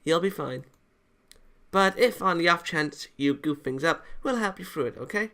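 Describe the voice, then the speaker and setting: A man's voice: fairly high pitch, expressive, moderate pace, a bit bright, pitched above the performer's own voice. A man voicing an optimistic, teasing young girl from a visual novel in an upbeat, encouraging manner. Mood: upbeat, reassuring.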